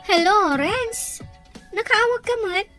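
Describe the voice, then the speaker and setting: A woman's voice: very high-pitched, animated, slow pace, pushed and bright, soft background music, exaggerated voice. A woman with an Ilocano accent playing a worried, respectful young woman in a radio drama. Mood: flustered.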